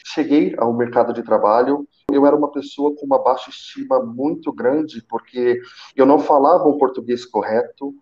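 A man's voice: mid-range voice, fairly even intonation, normal speed, darkish tone. A man in his thirties, a senior call center manager, telling his career story on a live video call through a headset, earnest and often restarting with repeated words. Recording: muffled roomy video call audio.

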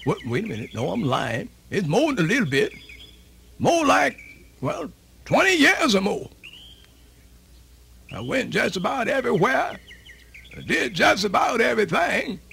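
An actor playing an old man from the American South, telling his life in a rambling, folksy, gravelly radio-theatre monologue with a Southern accent. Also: very high voice, pushed and bright, heavily acted. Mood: folksy, amused. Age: older. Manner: rambling, repeats himself, folksy.

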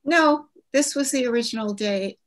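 A woman in her seventies, an author, talking reflectively about her family on a video call, gentle and with frequent restarts. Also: a little high, normal speed. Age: 70s. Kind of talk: virtual book event conversation.

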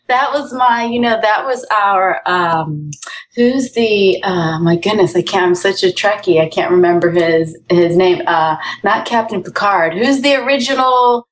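A woman guest on a podcast chatting casually, bubbly and quick to laugh. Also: mid-range voice, lively intonation, a little hiss or hum. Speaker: guest actor. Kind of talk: casual chat over a call.

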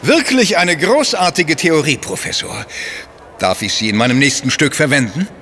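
An actor in a German audio drama playing a suave, politely mocking writer with an urbane, ironic manner. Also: male voice, fairly high pitch, animated, normal speed, pushed and bright, a little hiss or hum. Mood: amused, sceptical.

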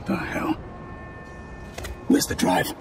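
A man's voice: slow, lightly acted. A man voicing a bullying, short-tempered gang boss in a video game, threatening in delivery. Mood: menacing, angry. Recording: noisy urban ambience behind.

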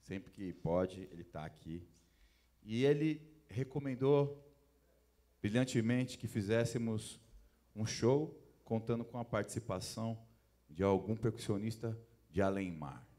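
A man addressing the audience from a concert stage on a handheld mic, earnest and thankful. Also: fairly low pitch. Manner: earnest, thankful, slowing down at times. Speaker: band member speaking from stage. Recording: live concert sound with loud music. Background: live band playing.

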